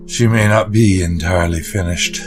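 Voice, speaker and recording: A man playing an ancient, weary occultist in a gothic audio drama, speaking in a gentle, measured, grave way. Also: low voice, soft background music, close to an everyday voice. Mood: calm, wary.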